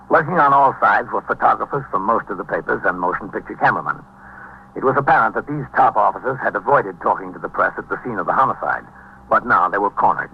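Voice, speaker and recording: Husky, low-voiced American police captain. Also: male voice, exaggerated voice. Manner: measured, matter-of-fact, official. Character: calm, businesslike male police precinct captain telling his case. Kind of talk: 1950s radio police drama acting and narration. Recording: dull 1950s radio broadcast recording.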